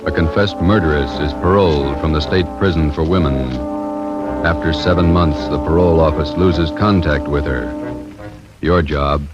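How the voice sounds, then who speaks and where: Very deep, solemn radio announcer. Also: male voice, steady tone, moderate pace. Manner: solemn, terse. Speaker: program announcer. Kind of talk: scripted dramatic 1950s radio introduction. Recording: muffled old radio recording. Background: loud brass music.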